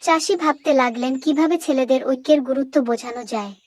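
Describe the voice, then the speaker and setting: A woman narrating a moral story for children in a clear, didactic storytelling manner. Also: high-pitched, fast talker, a little mellow, no echo.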